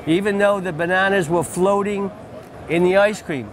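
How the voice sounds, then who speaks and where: A man's voice: sits high, fairly even intonation, moderate pace. Enthusiastic man telling lodge stories. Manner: enthusiastic, storytelling, repeats phrases. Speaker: fishing lodge owner and outfitter. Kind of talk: trade-show interview. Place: busy convention hall booth, indoors. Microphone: lapel mic. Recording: crowd chatter behind.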